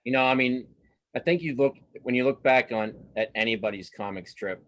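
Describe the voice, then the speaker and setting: A man giving a casual interview as a cartoonist guest, speaking haltingly and modestly, often repeating words as he thinks. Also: mid-range voice.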